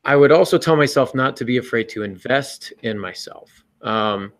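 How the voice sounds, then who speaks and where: A man's voice: medium pitch, moderate pace. A man hosting a podcast, casually explaining his views on writing in a conversational way. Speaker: podcast host and writer.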